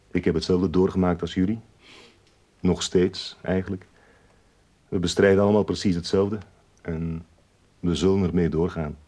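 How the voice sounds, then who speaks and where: An actor playing a reassuring, low-key support group coordinator addressing a meeting in a radio drama. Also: male voice, mellow.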